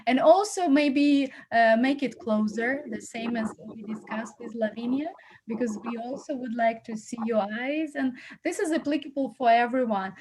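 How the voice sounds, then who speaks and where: A woman's voice: fairly high pitch, normal speed, a little mellow. A woman hosting as an acting coach, giving encouraging feedback with many fillers and repeated words. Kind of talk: casual feedback on a video call.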